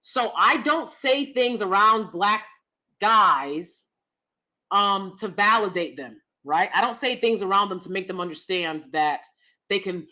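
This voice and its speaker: A young adult woman hosting a casual commentary vlog, loud and blunt, cursing freely. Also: medium pitch, unhurried. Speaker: vlog host.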